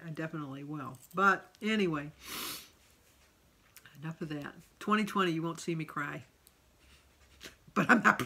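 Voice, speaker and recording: A woman's voice: on the low side, vocal fry, pushed and bright. A middle-aged woman talking casually and candidly in a personal vlog, rambling from one thought to the next. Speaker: vlogger.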